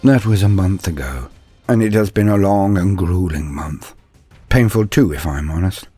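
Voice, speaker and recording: A man playing an earnest, deferential young male apprentice, telling his story in a measured, confiding, introspective way. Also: deep voice, expressive, dark tone, slightly rough, no echo, faint music behind. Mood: confiding, wondering. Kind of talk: first-person dramatic storytelling.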